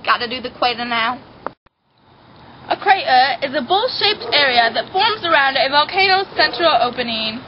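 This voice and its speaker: A young girl narrates a school film as a student presenter. Her voice is very high. The homemade recording is muffled, with some noise behind her. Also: expressive, moderate pace. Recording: dull, noisy homemade video sound.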